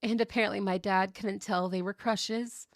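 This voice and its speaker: A woman acting an outgoing, cheerful young woman with a creaky edge to her voice. She chats and tells stories playfully in an audio roleplay, in a very dry recording. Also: medium pitch, steady tone, moderate pace, no echo, natural voice. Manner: chatty, playful storytelling. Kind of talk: scripted ASMR roleplay acting.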